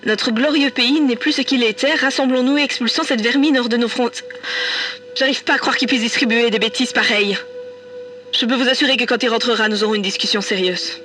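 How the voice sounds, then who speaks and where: A woman's voice: fairly high pitch, fairly quick, a bit bright, faint music behind, lightly acted. A middle-aged woman playing an exasperated mother of a troublemaking student in an audio drama. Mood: dismayed, stern.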